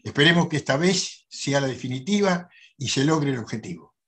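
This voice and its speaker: A man's voice: medium pitch, expressive, moderate pace, a bit bright, breathy edge. An older man hosting a conversational interview over a video call. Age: older, 60s or over. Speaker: interview host. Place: indoors at a desk, on a video call. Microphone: video call, close to the camera.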